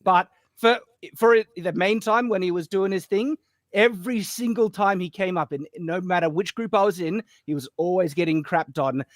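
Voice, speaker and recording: A young Australian man with a fairly high voice tells stories on a panel show. His accent is softened and a little Americanized. He stresses words with animated rises. The sound is dry and close. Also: moderate pace, no room sound. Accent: Australian, slightly Americanized. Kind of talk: casual chat.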